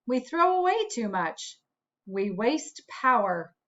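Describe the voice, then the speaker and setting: A woman reads aloud to young children like a school principal at story time. Her voice sits fairly high and swings expressively through each sentence. She takes it slowly, in clear teacherly phrases, on a slightly dull recording. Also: big pitch swings, a bit bright. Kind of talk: slow, expressive children's read-aloud with asides.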